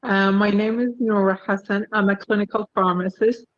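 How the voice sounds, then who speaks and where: A woman's voice: medium pitch, steady tone, moderate pace, no echo, highs cut off. A young woman from Iraq introducing herself earnestly as a regional representative on a video call. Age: young adult.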